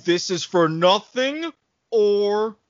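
Slow-paced, animated man on a panel. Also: high voice, dry recording. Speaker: podcast panelist. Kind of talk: casual panel chat. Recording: dull, lo-fi close sound.

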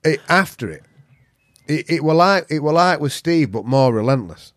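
A man's voice: a little high, animated, moderate pace, no room sound, studio quality. Blunt, sweary Yorkshireman telling stories. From Yorkshire, England. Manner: blunt, sweary, earthy storyteller. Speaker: interview guest, veteran jiu-jitsu practitioner. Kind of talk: casual anecdotal chat.

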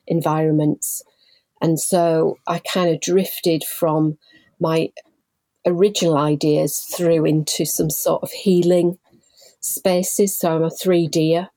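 A woman in her forties from Leeds, a guest telling her story in a measured, thoughtful way with frequent pauses. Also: deep voice, dark tone, dry recording. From Leeds, England. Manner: measured and thoughtful, pausing often. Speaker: guest, patient involvement manager. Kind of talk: reflective personal storytelling.

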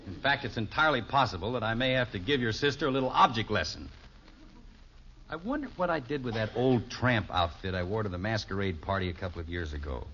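A man's voice: on the low side, quick pace, a bit bright, natural voice. A man playing an exasperated, stubborn middle-aged father in a 1950s radio sitcom, grumbling with folksy, emphatic sarcasm. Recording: dull 1950s radio broadcast recording.

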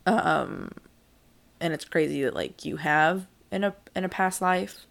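A young woman speaks in a very low voice with a lot of vocal fry. She co-hosts a casual podcast and muses tentatively, hedging her thoughts with plenty of "like"s. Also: a little slow. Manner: reflective, tentative, full of "like". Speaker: podcast co-host.

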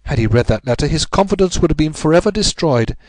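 A man's voice: a little high, expressive, a little mellow, no echo, voice pushed up, lightly acted. A man voicing a distraught, pleading upper-class married Englishwoman in a story, breathless and melodramatic. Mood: anguished confession. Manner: breathless, melodramatic, halting repetitions.

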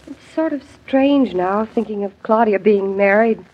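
A woman acts out a sweet, excitable young stage actress. It is 1940s radio drama, middle in pitch. The recording sounds dull and dated. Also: unhurried, close to an everyday voice. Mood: wistful, warm.

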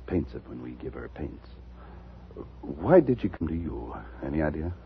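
An actor playing a calm, reassuring male doctor in a 1950s radio drama, speaking in a measured way. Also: very deep voice. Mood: calm inquiry. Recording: dull, noisy old radio recording.

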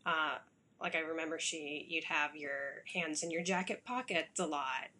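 A woman's voice: deep voice, normal speed, crowd noise. A woman interviewer asking questions casually, with hesitant phrasing.

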